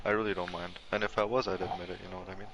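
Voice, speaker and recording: A man's voice: sits low, level tone, rapid-fire. A man from Pakistan talking earnestly and a little hesitantly on game voice chat. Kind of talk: casual voice chat while gaming. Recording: muffled voice chat with loud crowd noise.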